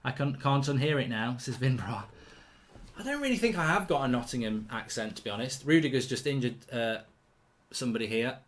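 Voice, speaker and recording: A man's voice: mid-range voice, lively intonation, fairly quick. Blunt man hosting a live match watchalong. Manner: chatty, reactive, blunt and indignant with mild swearing. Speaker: football watchalong host. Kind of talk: live match watchalong commentary reading viewer chat.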